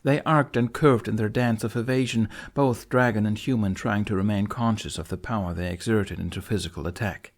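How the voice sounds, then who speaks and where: A man's voice: on the low side, fairly even intonation, fairly quick, hardly any pauses. Man dramatically narrating a fantasy audiobook.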